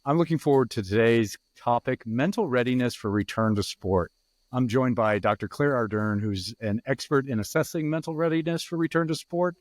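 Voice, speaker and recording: A man hosting a podcast interview, friendly and relaxed, often pausing with "uh" fillers. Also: medium pitch, no echo. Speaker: podcast host.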